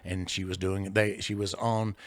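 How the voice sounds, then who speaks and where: A man's voice: low-pitched, flat delivery, moderate pace, dry recording. An older man with a big bluesy singer's voice, telling career anecdotes in a chatty interview with jokey asides, recorded in a studio. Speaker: singer-songwriter guest. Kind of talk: casual interview storytelling.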